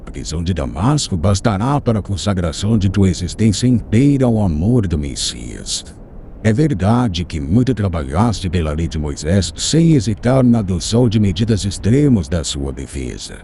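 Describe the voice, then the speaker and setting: A man's voice: sits low, sing-song, normal speed, mellow, a little hiss or hum. A man voicing a venerable elderly teacher who counsels with solemn tenderness in an audiobook. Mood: solemn tender. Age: older. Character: venerable elderly male teacher, gentle and wise. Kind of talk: audiobook character reading.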